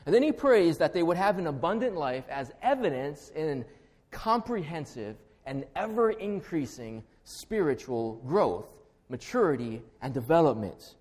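Hawaiian guy pastor giving a sermon, earnest, measured, slows way down to pray. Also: a little high, very animated, a little mellow. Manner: earnest, measured preaching with a slow, deliberate prayer.

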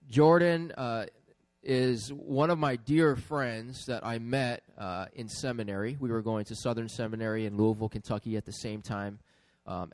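A man from Hawaii talks informally from the front of a church as its pastor. His voice is of average pitch and recorded dry. He moves haltingly through his introduction of a guest. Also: no room sound. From Hawaii, United States.

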